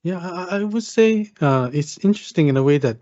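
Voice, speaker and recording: A man's voice: sits high, animated, fairly quick, a little mellow, lo-fi sound. A man from Vietnam joining a discussion, talking hesitantly with many fillers and restarts. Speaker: participant. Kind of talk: casual chat.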